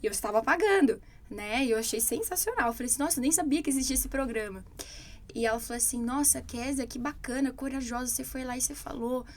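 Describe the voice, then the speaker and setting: Brazilian teacher lady chatting on a podcast, kinda high voice, acts out quotes. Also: fast talker. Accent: Brazilian Portuguese. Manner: animated storytelling, quoting others. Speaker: English teacher, podcast co-host. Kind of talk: casual chat.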